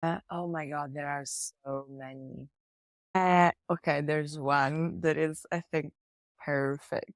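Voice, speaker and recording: A woman with an Italian accent, a language teacher and native Italian speaker, chatting expressively as a podcast guest and explaining with lively examples. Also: low-pitched, slow, no room sound, clean studio sound. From Italy. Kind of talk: casual podcast conversation.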